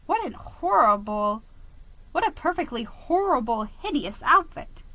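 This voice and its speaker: A fussy, excitable little gentleman mouse from a children's storybook, acted out by a woman. He squeaks his indignation dramatically in a high, bright voice. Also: measured pace, no echo, full character voice, poor audio. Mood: appalled.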